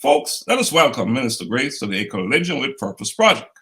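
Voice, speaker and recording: A man hosting an interview show, speaking in a formal, polished, measured way. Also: medium pitch, huge pitch swings, creaky, a bit bright. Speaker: host. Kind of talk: scripted interview introduction.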